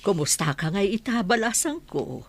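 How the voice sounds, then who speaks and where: A woman's voice: mid-range voice, very animated, moderate pace, creaky. A woman with an Ilocano accent playing a gossipy, motherly older village woman in a radio drama. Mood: affectionate.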